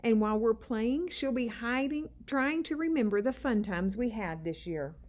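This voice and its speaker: A woman's voice: a little high, expressive, moderate pace, darkish tone, voice pushed up, close to an everyday voice. A woman voicing earnest, wistful and eager second-grade schoolchildren in a storybook, with emphatic delivery. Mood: concerned. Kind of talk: storybook character dialogue.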